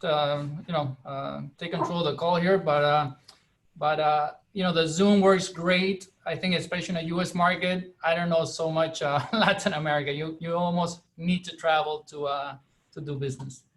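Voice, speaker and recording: A man speaking casually as a participant on a group video call, tentative and halting in how he talks. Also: fairly high pitch, steady tone. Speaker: consultant, meeting participant.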